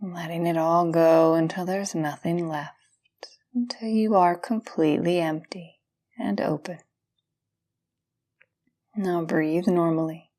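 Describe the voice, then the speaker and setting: A woman guiding a yoga nidra meditation, speaking gently and soothingly in calm, unhurried instructions with pauses between phrases. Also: fairly low pitch. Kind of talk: guided meditation.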